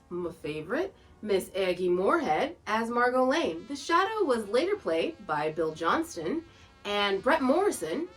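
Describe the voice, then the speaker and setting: Lively higher-voiced woman podcast host. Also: distant mic, low-quality recording. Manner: giggly, playful, folksy asides. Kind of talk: chatty semi-scripted narration about old radio shows. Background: background music.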